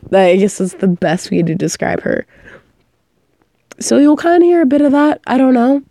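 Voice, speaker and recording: A woman's voice: fairly high pitch, sing-song, moderate pace, vocal fry, warm tone, no room sound. A young woman who is sick and coughing, rambling casually about her work as an audio performer and often losing her train of thought.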